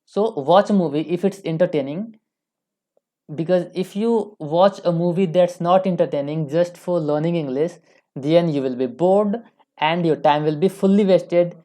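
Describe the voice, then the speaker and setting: A woman's voice: fairly low pitch, moderate pace, warm tone. A young woman with an Indian accent giving an informal English lesson, repeating phrases for emphasis. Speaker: online English teacher. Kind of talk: informal instructional lecture.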